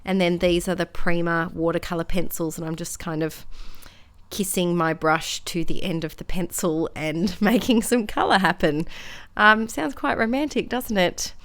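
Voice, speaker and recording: A young Australian woman with a slightly croaky voice, chatting casually in a rambling, self-deprecating way as she narrates her scrapbooking. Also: fairly low pitch, normal speed, a bit bright. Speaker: scrapbooking vlogger.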